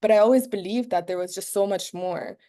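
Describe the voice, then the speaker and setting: Mellow-voiced woman speaking on a video call. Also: mid-range voice, brisk. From Egypt. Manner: warm, earnest, many fillers and restarts. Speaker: guest speaker. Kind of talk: casual talk on a video call.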